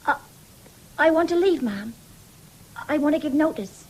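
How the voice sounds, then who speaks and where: A woman's voice: high voice, expressive, moderate pace, darkish tone, rough recording. An actress playing a dutiful young servant girl, deferential and hesitant, in a 1970s radio drama. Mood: nervous. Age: young adult.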